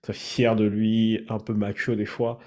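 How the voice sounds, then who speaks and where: Mellow-voiced man, reflective. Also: on the low side, completely flat delivery. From Cameroon. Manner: thoughtful, measured, searching for words. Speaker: guest sharing his testimony. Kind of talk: reflective personal testimony.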